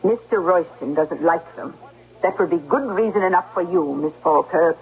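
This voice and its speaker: A woman's voice: on the low side, normal speed, natural voice. A narrow, faintly noisy old radio recording carries an actress playing a gothic manor housekeeper. She is stern and cold, speaking in formal, clipped phrases with brusque authority. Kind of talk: 1970s radio drama acting. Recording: thin phone-like old radio broadcast.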